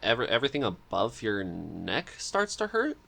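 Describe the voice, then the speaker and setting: A man's voice: fairly low pitch, wildly swooping pitch, moderate pace, gravelly, pushed and bright. A man hosting a casual interview chat as the interviewer. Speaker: podcast host, interviewer.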